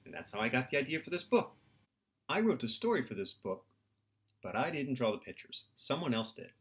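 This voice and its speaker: Expressive man hosting a children's storytime. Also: medium pitch, a little fast, breathy edge. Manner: simple explanations, asks the audience questions. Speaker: children's book author. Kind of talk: children's storytime talk and expressive picture book reading.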